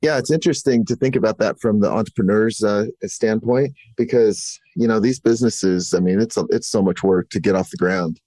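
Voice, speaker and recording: A man hosting a podcast, chatting in a relaxed way, full of "you know" and fillers. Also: lowish voice, a little fast, hardly any pauses, no room sound. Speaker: podcast host. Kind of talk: casual interview chat.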